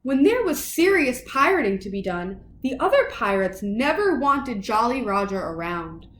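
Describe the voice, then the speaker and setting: A woman reading a children's picture book aloud in an expressive, dramatic way for young listeners. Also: a little high, moderate pace, roomy sound, a little hiss or hum. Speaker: children's storybook reader.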